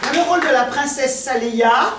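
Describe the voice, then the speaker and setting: A woman's voice: high voice, normal speed. A woman presenting a theatre troupe on stage, announcing the cast in an echoey hall full of crowd noise. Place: hall with audience, recorded from afar. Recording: echoey noisy hall, distant mic, loud crowd.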